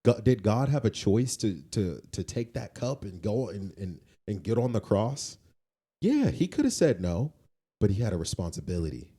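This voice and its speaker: A man's voice: lowish voice, sing-song, normal speed, dark tone, husky. A man co-hosting a podcast, giving conversational opinions on faith in an emphatic, moralizing way and repeating points for weight. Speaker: podcast co-host.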